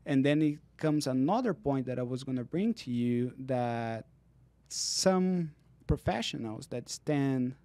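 A man in his thirties explains exercises and leg health with patient earnestness. His voice is mellow and warm rather than bright, at an ordinary middle pitch. It is recorded close on a studio mic with a dry, dead sound. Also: animated, takes it slowly, no room sound. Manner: earnest, explanatory, non-native phrasing. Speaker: physical therapist guest. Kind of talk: explaining in casual interview. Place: dark podcast studio. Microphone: studio mic on boom arm, headphones.

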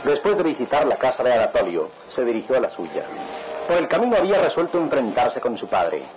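A man's voice: medium pitch, very animated, fairly quick, natural voice, rough recording. A man narrating a radionovela in a solemn, theatrical, melodramatic style. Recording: radio drama under loud music.

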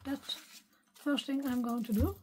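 A Dutch woman speaking English, her voice weak and partly gone, softly thinking aloud as she works through a craft tutorial at her desk. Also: high voice, steady tone, noisy background. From Netherlands. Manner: soft-spoken, unhurried, talks to herself while working. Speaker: craft video maker. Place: craft desk at home, filmed from overhead.

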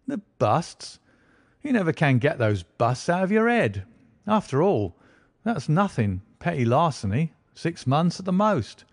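A man's voice: a little high, sing-song, measured pace, no echo, clean studio sound, voice pushed up, full character voice. Brash male police inspector, raised and pushy, full of himself, voiced by a male audiobook reader. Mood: eager, boastful. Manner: eager, self-satisfied, lectures at speed. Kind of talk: audiobook character voice.

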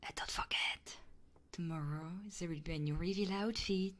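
A woman streamer doing ASMR and chat in a soft, intimate voice that often drops into whispers, close to the microphone. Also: lowish voice, normal speed. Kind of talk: ASMR roleplay and chatting livestream.

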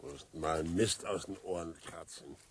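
Coarse, menacing escaped convict, noisy backdrop. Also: male voice, low-pitched, a little slow. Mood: gruff, scolding. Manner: rough, slangy, bullying. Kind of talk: German radio drama acting. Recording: loud background noise.

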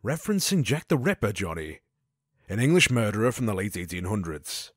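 An actor playing a cultured, commanding gang boss in an audio drama, speaking in a measured, formal, theatrical way. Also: male voice, fairly low pitch, sing-song, no echo, studio quality. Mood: smugly pleased.

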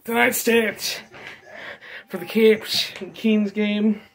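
A young man giving a casual game recap from notes, speaking haltingly and stumbling over names and figures. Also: high voice, level tone, gravelly, faint music behind. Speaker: hockey fan vlogger. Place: dim bedroom, seated at desk.

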